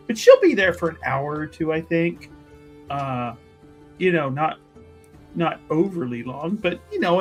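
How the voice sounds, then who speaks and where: A man chatting casually as a player in a tabletop roleplaying game, talkative and jokey, improvising what a character does. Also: on the high side. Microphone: streaming microphone on a remote call.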